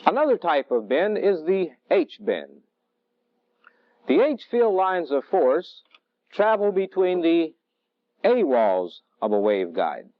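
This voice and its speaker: Higher-voiced, lively male instructor, dull vintage recording. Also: no echo. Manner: deliberate, didactic, measured phrasing. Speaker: military technical instructor. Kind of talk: instructional training film lecture. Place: indoor training film studio. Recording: dull, dry vintage film soundtrack.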